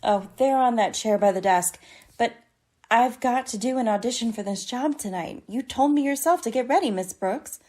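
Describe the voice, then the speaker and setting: A woman's voice: fairly high pitch, moderate pace, darkish tone, lightly acted. A woman playing a breezy, flirtatious balloon dancer in a radio comedy, with a languid, coquettish manner. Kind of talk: 1950s radio comedy acting.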